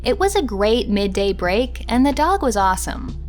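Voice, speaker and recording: A woman reads written testimony for a documentary in a fairly high voice. Her delivery is scripted, with music playing faintly underneath. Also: sing-song, moderate pace. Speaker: reader of quotes. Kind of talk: scripted reading of quotes for a documentary.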